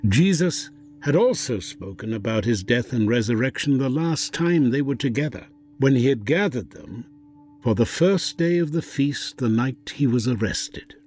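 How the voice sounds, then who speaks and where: A man narrating a scripted audio drama in a solemn, deliberate way. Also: mid-range voice, lively intonation. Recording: soft music behind.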